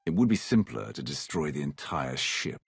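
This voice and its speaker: Dark Sith warrior for a video game: rock-bottom pitch, husky gravel, curt and ruthless. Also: male voice, very animated, normal speed, no room sound, clean studio sound, exaggerated voice. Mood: blunt, wary. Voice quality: gravelly, very deep. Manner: terse, commanding. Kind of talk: dramatic video game acting.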